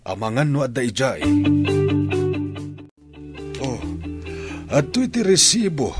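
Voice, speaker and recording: A man's voice: mid-range voice, sing-song, takes it slowly, over loud music. A man with an Ilocano accent playing a troubled, indignant middle-aged father of a grown son in a radio drama. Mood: dismayed.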